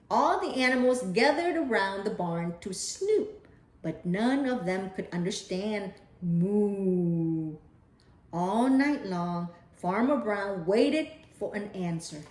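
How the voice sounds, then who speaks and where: A woman reading a children's picture book aloud in an expressive, deliberate storytelling style. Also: medium pitch. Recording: echoey room.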